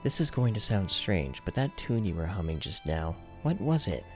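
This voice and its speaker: A man's voice: sits low, big pitch swings, normal speed, pitched above the performer's own voice. A flustered, self-doubting young man in a dramatic audiobook, acted by a man. He speaks in a raised voice, nervous and apologetic, faltering as he goes. Music sits faintly behind him. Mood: nervous, hesitant.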